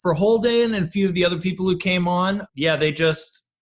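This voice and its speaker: A man's voice: high-pitched, fairly quick. A man chatting casually and enthusiastically as a guest creator in a podcast interview. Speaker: podcast guest, comedy audio drama creator.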